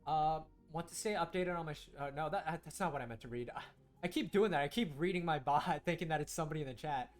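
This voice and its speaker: Young guy streaming, grew up in the us but korean was his first language, rambles and restarts a lot, voice a bit high, faint music under it. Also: quick pace. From United States. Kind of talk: casual gameplay stream chat, talking to chat.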